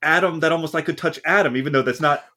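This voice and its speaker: A man's voice: fairly high pitch, quick pace, bright, forceful tone. A man co-hosting a podcast, telling a long story in a casual, rambling way and often correcting himself mid-sentence. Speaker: podcast co-host.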